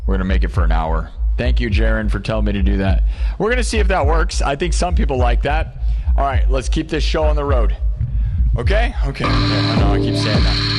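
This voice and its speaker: A man in the middle of his range chats upbeat and rambling, forever checking in with viewers with a quick "right?". He hosts a casual live music stream and thanks viewers as he goes. Faint music sits low behind his voice. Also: lively intonation, a little mellow. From Des Moines, Iowa, US. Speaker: livestream host and live-looping musician. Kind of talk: casual livestream chat to viewers. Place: home music studio, indoors.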